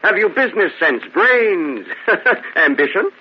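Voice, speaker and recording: An actor playing an arrogant, sneering, pompous Italian braggart in a theatrical old radio drama. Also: male voice, high-pitched, sing-song, a little slow, breathy edge, heavily acted. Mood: mocking, boastful. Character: arrogant, mocking, wealthy Italian braggart, smoothly condescending. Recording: muffled, hissy old radio drama recording.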